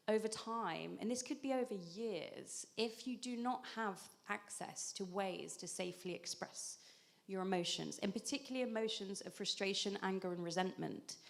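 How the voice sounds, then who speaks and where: Female panelist, 30s, low gravelly voice, talks it through with ums and restarts. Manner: explanatory, frequent ums and restarts. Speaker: acupuncturist panelist. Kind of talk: conversational panel explanation.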